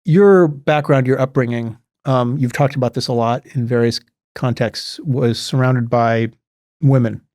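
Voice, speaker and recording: A man interviewing a novelist on a podcast, asking long, measured questions with some hesitation. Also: medium pitch, fairly even intonation, darkish tone, no echo, clean studio sound.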